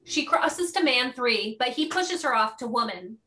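Female stage-directions reader, bright, animated, fairly high voice. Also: moderate pace. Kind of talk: scripted narration.

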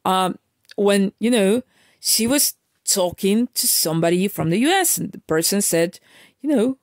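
A woman's voice: mid-range voice, expressive, a little slow, no room sound. A woman from Argentina rants to her viewers in a casual vlog monologue. She lectures with emphasis, stumbles and restarts often, and scatters fillers through her talk. Her voice sits in a middle register and is recorded close and bone dry. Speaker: vlogger.

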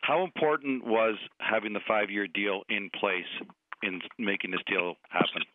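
A man's voice: fairly low pitch, steady tone, normal speed, background noise. A man, a TV sports reporter, asking questions on a press call over the telephone. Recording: dry, narrow phone line.

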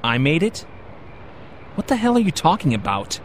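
A man's voice: on the high side, wildly swooping pitch, moderate pace, a little hiss or hum. A man playing a curious, skeptical everyman companion in an audio drama, with a casual questioning manner. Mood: puzzled, skeptical.

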